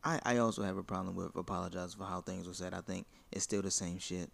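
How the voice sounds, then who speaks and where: Low gravelly dude talking blunt and heated, swears a lot. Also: male voice, steady tone, fast. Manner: blunt, profane, emphatic. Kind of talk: heated casual debate.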